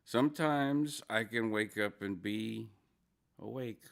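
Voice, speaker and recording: A man hosting a casual morning livestream, greeting viewers by name in a drowsy, rambling way with a low, gravelly morning voice. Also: slow pace. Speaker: livestream host.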